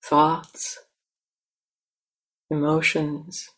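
A woman meditation teacher leading a guided meditation in a gentle, unhurried manner with long pauses. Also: sits low, completely flat delivery, breathy edge.

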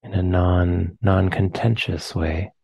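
Slow, deep-voiced American man guiding meditation. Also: flat delivery, gravelly, slight background noise. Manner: slow, soft, pausing phrases. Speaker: meditation teacher. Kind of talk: guided meditation.

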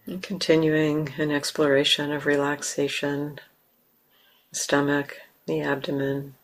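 A woman with an American accent, a meditation teacher leading a guided meditation slowly and softly, with long pauses. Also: low voice, low-quality recording. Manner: slow, soft, calm, with long pauses. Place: meditation hall.

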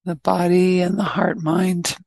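A woman teacher leading a guided meditation, speaking in short, gently inviting phrases with pauses between them. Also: lowish voice, flat delivery, creaky, dry recording, studio quality.

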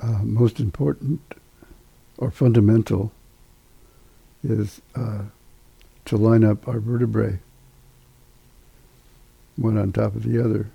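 An older man giving guided meditation instruction as a teacher, unhurried and halting, with long pauses. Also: fairly low pitch, steady tone, vocal fry, husky, no echo, muffled recording.

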